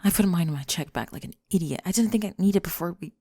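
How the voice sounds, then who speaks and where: A young woman acts out a flustered, guarded female college student in an ASMR roleplay. Her voice is on the low side with plenty of creak, often sinking into soft whispers. She talks haltingly and self-consciously, very close and dry on the mic. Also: no echo. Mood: awkward, wistful. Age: young adult. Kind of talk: ASMR roleplay, scripted intimate monologue. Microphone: close microphone, dry.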